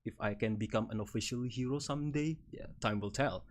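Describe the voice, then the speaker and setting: A man's voice: medium pitch, brisk. A man in his thirties from Indonesia, speaking English with an Indonesian accent, chatting casually on a live stream as he presents slides about himself with a rambling, good-humored manner and frequent chuckles. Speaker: VTuber streamer.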